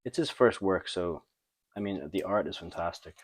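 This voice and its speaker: A man hosting a comic book review in a casual, unscripted way, thinking aloud with halting, trailing pauses. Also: sits low, animated, slightly rough, no room sound. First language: not French. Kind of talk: casual unscripted show-and-tell commentary.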